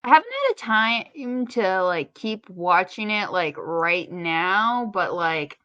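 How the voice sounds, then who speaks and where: A woman's voice: mid-range voice, very animated, slow pace, a bit bright, dry recording, highs cut off. A woman co-hosting a casual podcast, chatty and opinionated, with wry, rambling asides. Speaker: podcast co-host.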